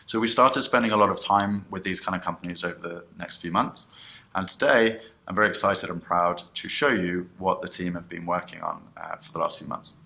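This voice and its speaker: A man with a low-pitched, bright and forceful voice presents like a company founder announcing a product. His delivery is rehearsed, with emphatic sentence openings that tail off softly at the ends. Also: fairly quick, gravelly.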